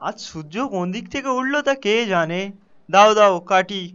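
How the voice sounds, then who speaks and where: Man putting on a high-pitched greedy cartoon fishmonger. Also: animated, brisk, a bit bright. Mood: grudging, sarcastic. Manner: gruffly sarcastic, grudging. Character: greedy, crafty village fishmonger, grudging and scheming. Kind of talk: animated folk tale voice acting. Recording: dull lo-fi sound with music behind.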